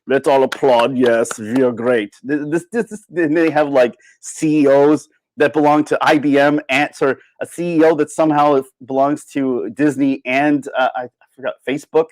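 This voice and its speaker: A man giving a casual, opinionated livestream monologue in an animated, sardonic way. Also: a little high, moderate pace, low-quality recording. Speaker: livestream host.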